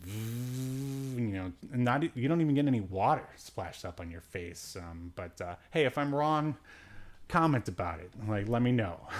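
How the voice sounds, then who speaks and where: A man hosting a podcast, recapping in casual chat with a rambling, jokey, irreverent manner and offhand, slangy phrasing. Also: on the low side. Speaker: podcast host.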